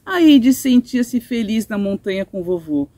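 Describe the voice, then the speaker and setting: A middle-aged Brazilian woman reads a story for children in simple, explanatory phrases. Her voice is fairly high and very lively in intonation, with a soft, mellow tone. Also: normal speed, no room sound. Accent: Brazilian Portuguese. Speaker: storyteller reading a children's audiobook. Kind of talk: expressive children's story reading. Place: outdoors in a sunny garden patio, seated.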